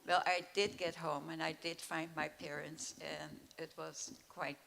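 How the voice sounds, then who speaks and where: Gravelly older woman recounting memories. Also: low voice, level tone, a little slow, a bit bright, a little hiss or hum. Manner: plain recounting, with hesitant fillers. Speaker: Holocaust survivor speaker. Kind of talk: personal storytelling at a school event. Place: school event hall. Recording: echoey school hall.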